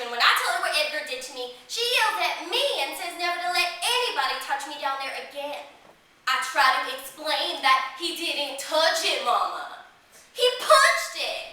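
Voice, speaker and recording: A woman performing a dramatic stage monologue as a woman reliving girlhood memories, childlike and candid, with emphatic delivery, drawn-out words and pauses. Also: high-pitched, bright, forceful tone, exaggerated voice. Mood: hurt, intense. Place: small theatre stage. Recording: echoey theatre, recorded from a distance.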